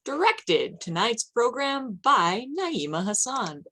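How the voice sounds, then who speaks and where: A woman's voice: fairly high pitch, big pitch swings, slow, bright, forceful tone, slight background noise. A woman hosting a live show informally, reading credits and introducing performers.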